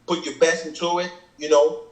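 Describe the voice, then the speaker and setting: A man's voice: on the high side, level tone, moderate pace. Guy, kenyang is his first tongue, breaks down his bars, keeps saying you feel me, kinda echoey. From Cameroon. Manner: earnest, explains at length, keeps asking "you feel me". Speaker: rapper explaining his lyrics. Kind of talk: casual reaction chat. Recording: echoey room.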